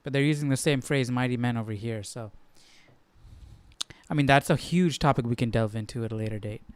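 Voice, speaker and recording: A man's voice: mid-range voice, brisk, smooth, no room sound. A man talking informally as a Bible study host, thinking aloud and often checking in with the listener. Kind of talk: casual chat, informal Bible commentary.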